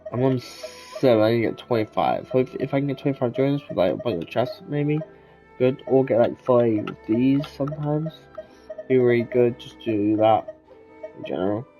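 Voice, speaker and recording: A man's voice: mid-range voice, expressive, normal speed, mellow, faint music behind. A man giving casual gameplay commentary as a streamer, rambling as he thinks aloud. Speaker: gaming streamer.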